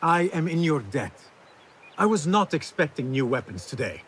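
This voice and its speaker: An actor playing an eager young assassin apprentice in a video game, respectful and slightly hesitant. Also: male voice, a little high, big pitch swings, moderate pace, fairly bright tone, slight background noise. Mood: earnest, respectful. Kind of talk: dramatic video game acting.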